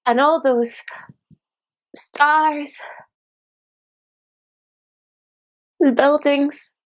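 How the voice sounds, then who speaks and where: A woman playing a disillusioned young dreamer in a solo dramatic monologue, reflective and deliberate, in short broken phrases. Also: mid-range voice, steady tone, low-quality recording. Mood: despairing.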